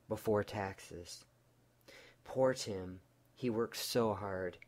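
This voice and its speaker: A man narrating a bedtime story in a measured, mock-solemn way, phrase by phrase. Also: fairly low pitch, darkish tone.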